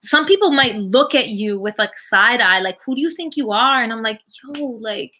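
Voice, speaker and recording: A woman with a Canadian accent chatting casually on a podcast as a guest, telling personal stories in animated, slangy talk and acting out conversations. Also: on the high side, normal speed. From Toronto, Canada. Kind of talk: casual chat, personal storytelling.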